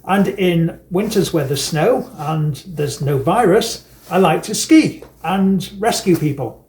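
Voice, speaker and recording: Deadpan Manchester man in his fifties. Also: fairly high pitch, takes it slowly. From England. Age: 50s. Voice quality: deadpan self-mocking delivery. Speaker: election candidate. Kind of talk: scripted campaign address with dry jokes.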